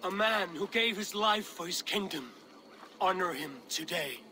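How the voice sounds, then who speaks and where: Fierce high-pitched Viking war leader. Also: male voice, lively intonation, a little slow, pushed and bright, faint music behind, heavily acted. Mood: rousing. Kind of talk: dramatic video game acting.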